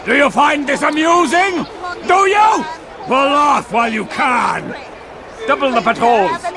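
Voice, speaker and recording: A man's voice: extremely high pitch, lively intonation, unhurried. A man playing a paranoid, tyrannical knight commander in a video game, agitated and accusing. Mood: furious. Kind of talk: dramatic game cutscene acting. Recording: loud crowd and outdoor noise behind.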